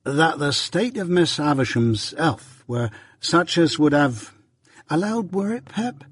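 A man voicing a simple, kindly country working man who speaks slowly and haltingly with rustic grammar in a storybook. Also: a little high, very animated, slightly rough, no room sound, studio quality, voice pushed up, full character voice. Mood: slow, deliberate.

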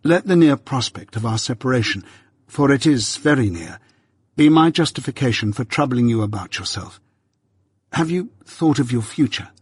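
A man reading aloud, voicing an affectionate young Victorian gentleman who speaks eagerly and cordially to his friend in a raised, bright voice. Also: medium pitch, animated, normal speed, husky, dry recording, clean studio sound.

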